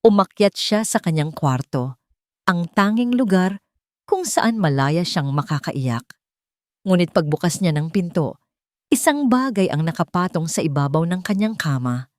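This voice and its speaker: A woman's voice: on the low side, lively intonation, unhurried, no room sound, studio quality. A woman narrating a scripted romantic drama story in a dramatic storytelling style.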